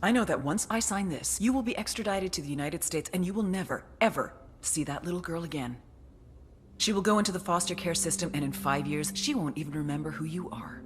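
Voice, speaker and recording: A woman acting a tough, foul-mouthed intelligence officer in a video game cutscene, with a deliberate, hard-edged, menacing delivery. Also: on the low side, slight background noise, lightly acted. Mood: cold, menacing.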